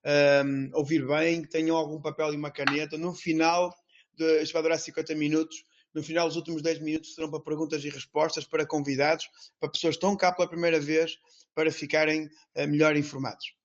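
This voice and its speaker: A man's voice: on the high side, little pitch movement, fast talker. A man hosting an online talk, introducing the speaker in a hesitant way with many fillers. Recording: dull lo-fi audio.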